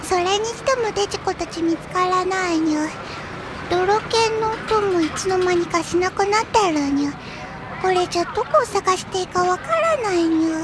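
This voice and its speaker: A woman's voice: very high voice, lively intonation, normal speed, noisy background. A woman voicing a tiny, blunt, fearless cat-eared girl in an anime audio drama, childlike and curt, ending sentences with a cat-like nya. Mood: matter-of-fact. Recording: music playing loudly behind.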